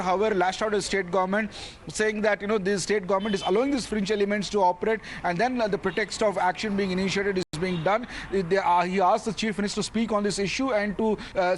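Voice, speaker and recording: A man with an Indian accent giving a live news report, halting and repeating himself as he goes. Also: sits high, a little hiss or hum. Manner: halting, repetitive phrasing.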